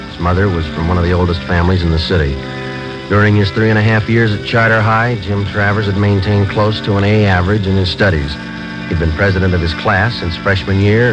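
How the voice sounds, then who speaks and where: An actor playing a calm, businesslike police sergeant who speaks in clipped, matter-of-fact lines in a vintage radio crime drama. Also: male voice, low voice, natural voice. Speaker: narrator and detective. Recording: muffled old radio drama, music.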